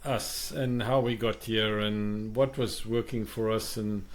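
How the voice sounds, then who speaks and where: A man's voice: fairly low pitch, fairly even intonation, unhurried. A middle-aged man with a South African accent, co-hosting a podcast and speaking in a thoughtful, emphatic way about migration. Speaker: podcast co-host. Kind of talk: reflective podcast conversation.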